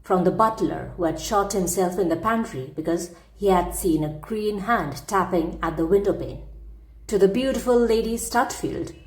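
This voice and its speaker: A woman's voice: mid-range voice, normal speed, a little mellow. Roomy-sounding woman reading a classic story. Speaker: story narrator. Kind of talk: scripted audiobook narration of a classic humorous ghost story.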